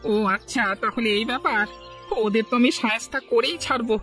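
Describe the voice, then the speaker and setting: Male actor as simple village milkman, high-pitched, quick, fretful, plaintive, cartoon style. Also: bright, forceful tone, soft background music. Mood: troubled. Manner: plaintive, worried. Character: distressed simple village milkman, fretting over his buffalo. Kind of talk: cartoon dialogue acting.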